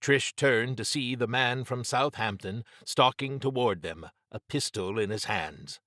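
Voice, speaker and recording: A man's voice: medium pitch, unhurried, bright, forceful tone, no room sound, studio quality. A man narrating a scripted horror adventure as an audiobook reader.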